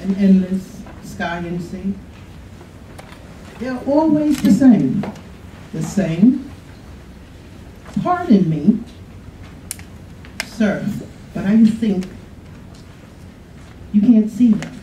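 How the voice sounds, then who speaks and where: A man reading the English version of a poem aloud in a slow, soft recital at a college podium. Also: high voice, very animated, a little hiss or hum. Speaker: student reader. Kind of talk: poetry reading. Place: college lecture room. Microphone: podium mic from a far camera. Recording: echoey room, mic far off.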